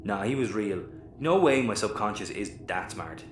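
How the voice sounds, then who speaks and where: An actor playing a hungover, suspicious Irish space captain who rambles, in a sci-fi audio comedy. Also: male voice, lowish voice, sing-song, moderate pace. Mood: groggy. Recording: roomy echo, clear background noise.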